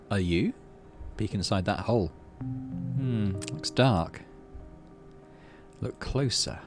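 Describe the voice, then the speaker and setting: A man casually hosting a game playthrough, reading narration aloud and muttering his thinking as he plays. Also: fairly low pitch. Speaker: gaming channel host.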